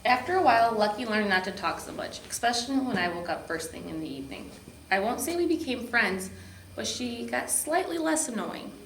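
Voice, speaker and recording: A woman reading a children's storybook aloud with expressive narration. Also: on the high side, a little fast. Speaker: park district program supervisor reading to children. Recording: echoey room with background noise.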